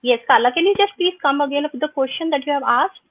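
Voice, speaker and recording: A woman's voice: sits high, fast talker, no echo. Polite woman on a tinny line. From India. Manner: polite, asks to repeat. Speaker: programme participant. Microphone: video call. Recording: tinny unstable call line.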